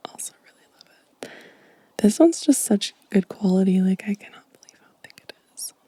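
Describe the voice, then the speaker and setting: A woman speaking in a soft, breathy whisper, gently and intimately, as in an ASMR show and tell close to the microphone. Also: medium pitch, animated, brisk, no room sound. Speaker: host of an ASMR channel.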